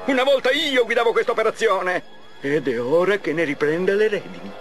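A man's voice: high-pitched, lively intonation, brisk, faint music behind. A man voicing a scheming, theatrical mad scientist villain in an Italian cartoon game dub, with a pompous delivery. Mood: haughty, menacing.

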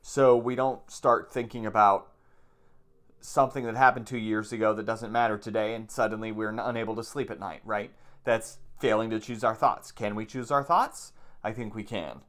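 A man's voice: on the low side, steady tone, moderate pace, a bit bright. Plain-spoken man teaching meditation. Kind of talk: reading aloud from a book with informal commentary. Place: small room at home, indoors.

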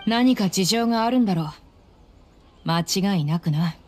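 A woman's voice: mid-range voice, moderate pace, a little mellow, soft background music, lightly acted. A woman playing a bold, easygoing mercenary in a video game, tomboyish, blunt and familiar. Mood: teasing, curious.

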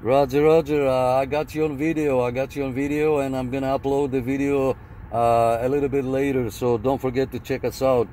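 A man's voice: mid-range voice, level tone, fairly quick. A man making casual, courteous CB radio calls from inside a vehicle, often repeating his phrases. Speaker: CB radio operator. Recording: noisy vehicle cabin, radio static behind.

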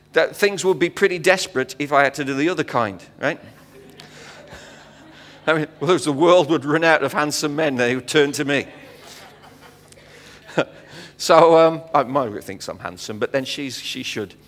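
A man's voice: fairly high pitch, a bit bright. A middle-aged man preaching from a church stage in a chatty, joking way with frequent fillers. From England. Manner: conversational preaching with fillers and quips. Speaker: visiting pastor. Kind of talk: sermon. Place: church hall stage with audience. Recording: long hall reverb.

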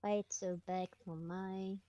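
A woman's voice: mid-range voice, little pitch movement, slow pace, warm tone, no room sound, highs cut off, close to an everyday voice. A woman playing a sassy crude sidekick. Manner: mocking, sassy interjections. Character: crude sidekick friend, egging things on. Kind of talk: prank call comedy.

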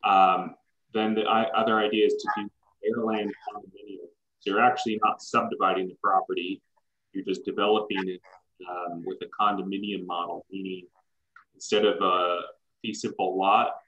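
Deep-voiced young man on dull call audio. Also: little pitch movement, moderate pace, a bit bright. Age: young adult. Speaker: planner and meeting moderator. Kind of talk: informal explanation at online public meeting. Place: room at home, on a video call. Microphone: computer microphone on video call.

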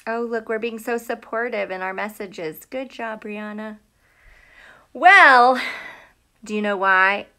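A woman's voice: mid-range voice, takes it slowly, pauses often. A middle-aged American woman, a teacher, chatting casually and animatedly with children on a livestream from a room at home. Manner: chatty and animated, greeting viewers by name, asking questions. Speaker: elementary school teacher hosting a live read-aloud. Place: small room at home, indoors. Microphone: phone or tablet at arm's length.

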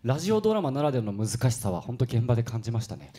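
Fast, animated man with a mellow voice. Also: medium pitch. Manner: excitable, animated storytelling with acted-out quotes. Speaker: guest performer new to voice acting. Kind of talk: casual radio talk, polite chat.

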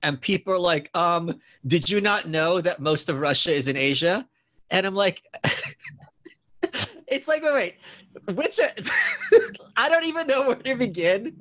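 A man's voice: sits high, lively intonation, measured pace, long pauses, a bit bright. Raised-voice man hosting over a video call. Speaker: host. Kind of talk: casual video-call interview. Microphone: video call, earbuds.

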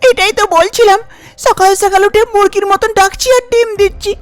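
A woman's voice: very high-pitched, fairly even intonation, fairly quick, faint music behind, pitched above the performer's own voice. A woman voicing an anxious young wife in a cartoon story, exclaiming and pleading. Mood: distressed, pleading.

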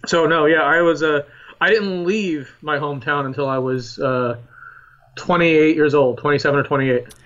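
A man's voice: fairly high pitch, steady tone, moderate pace, fairly bright tone, dull audio. A man in his thirties from Philadelphia, a historian being interviewed on a podcast, talking earnestly with emphatic repetition. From Philadelphia, United States. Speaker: historian guest.

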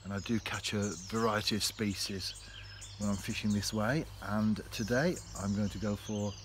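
A man, talking to camera in a relaxed, reflective way while fishing on a canal bank. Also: lowish voice, moderate pace. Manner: chatty, reflective, speaks straight to camera. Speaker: fishing vlogger. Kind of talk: relaxed outdoor vlog narration. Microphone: camera close in front. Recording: faint outdoor noise. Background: birds and countryside ambience.

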